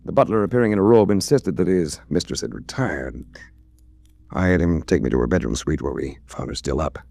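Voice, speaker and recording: Low, cool hard-boiled detective. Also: male voice, lively intonation, brisk, gravelly, warm tone, no room sound, heavily acted. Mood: measured narrating. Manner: terse, controlled. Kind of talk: 1940s radio detective drama acting with first-person narration.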